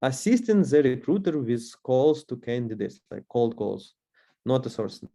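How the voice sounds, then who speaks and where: A man's voice: medium pitch, sing-song, moderate pace, dark tone. A young man with a Russian accent, a native Russian speaker, giving a webinar lecture as course instructor with many fillers and restarts.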